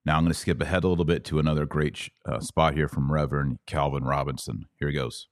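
A man's voice: rumbling low, little pitch movement, quick pace. A man hosting a podcast, commenting emphatically on clips he plays and stressing his points with rising force. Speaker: podcast host.

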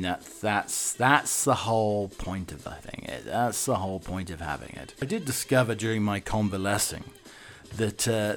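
A man hosting a podcast in a casual, wry monologue, self-deprecating and mock-dramatic, often stumbling and repeating his words. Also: fairly low pitch. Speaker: podcast host.

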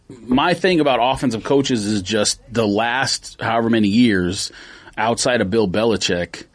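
A man co-hosting a podcast, debating football fast and insistently in casual talk. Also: on the low side. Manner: animated, argues fast with stats. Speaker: podcast co-host.

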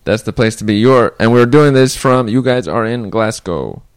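A man's voice: mid-range voice, normal speed, clear, resonant tone, no echo. A man hosting a podcast interview, asking questions in a casual, joking, rambling way. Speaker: podcast host.

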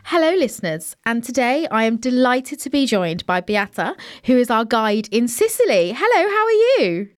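A woman's voice: a little high, sing-song, normal speed, few pauses. A woman hosting a podcast, upbeat and enthusiastic as she interviews a guest. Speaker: podcast host.

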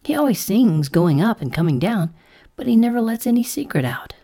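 Storybook caterpillar , played by a woman: mild, fretful little female creature, raised lilting pitch, earnest and exclamatory. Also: sing-song, a little fast, dark tone. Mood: wondering. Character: mild, anxious green caterpillar, female, kind but indignant. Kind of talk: storybook character voice.

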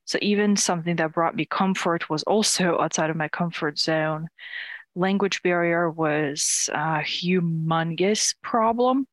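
Woman from ukraine, 20s, lowish voice, chatty interview guest, dry close sound. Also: unhurried, no room sound. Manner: candid, laughs often, frequent restarts. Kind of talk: casual interview.

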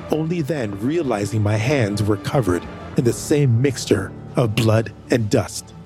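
A man narrating a first-person audio drama with expressive delivery. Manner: expressive, rising pitch in dialogue.

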